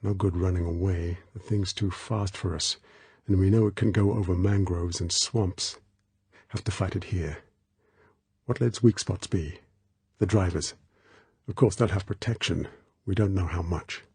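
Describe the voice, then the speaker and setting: A man voicing a tough, protective action hero in an audiobook, with a clipped, low-key, commanding manner. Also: moderate pace, dark tone, husky, no echo. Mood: brisk, resolute.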